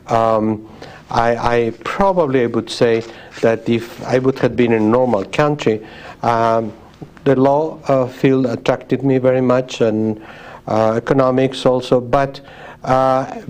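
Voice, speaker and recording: A man in his sixties from Spain answers questions in English with a Spanish accent. He talks in a thoughtful, measured way, stopping to restart phrases and reach for words. His pitch sits in an ordinary middle range. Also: darkish tone. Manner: thoughtful, with frequent pauses and restarts. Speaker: guest, sociologist. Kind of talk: interview conversation.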